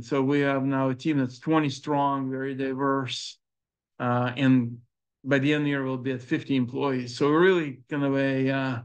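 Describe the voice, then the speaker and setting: Middle-aged male founder, Ukrainian accent, smooth resonant voice, halting delivery with many fillers, slightly muffled audio. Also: medium pitch. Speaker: startup founder presenting. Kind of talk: conference presentation with audience questions.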